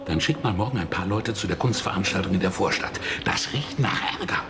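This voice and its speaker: An actor playing a grumbling, conspiratorial innkeeper in a German radio drama. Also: male voice, low-pitched, a little fast, over music, natural voice. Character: Peter, gruff, indignant innkeeper plotting vigilantly. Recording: clearly noisy ambience.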